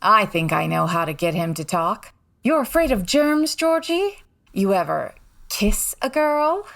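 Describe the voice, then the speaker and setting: Poised, arch society lady detective, measured pace with animated, dryly witty inflection, comic radio drama. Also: mid-range voice, creaky, full character voice. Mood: sly, teasing. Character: poised, wry high-society woman detective. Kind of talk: comedic old-time radio drama acting.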